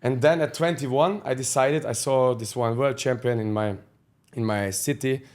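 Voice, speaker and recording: A man's voice: mid-range voice, fairly even intonation, normal speed, darkish tone. A man. He is a podcast guest telling his life story candidly in blunt, profane English with loose grammar. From Austria. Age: 30s. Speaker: guest, fight coach.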